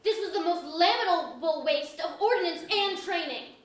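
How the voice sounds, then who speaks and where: A woman plays a vengeful young warrior noble in a very high voice. The old-fashioned verse is declaimed on stage, with strong echo from the hall. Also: normal speed. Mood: bold, rallying. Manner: declamatory archaic verse delivery. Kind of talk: staged reading of mock-Shakespearean verse drama. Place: indoor auditorium stage. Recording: very echoey auditorium.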